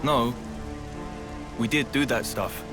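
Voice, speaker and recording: An actor playing a blunt, earnest young fighter in a video game cutscene. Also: male voice, mid-range voice, takes it slowly, over music, lightly acted. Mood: grave, frank.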